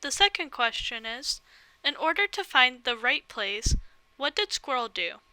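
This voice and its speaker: A woman's voice: high-pitched, big pitch swings, unhurried, heavy background noise. A woman reading a children's story aloud, expressively, and putting questions to young listeners. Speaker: read-aloud host.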